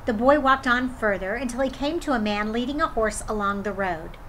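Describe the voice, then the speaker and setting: A woman storyteller reading a children's folktale aloud in an expressive way. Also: on the high side, fairly quick, slight background noise, low-quality recording. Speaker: drama storyteller.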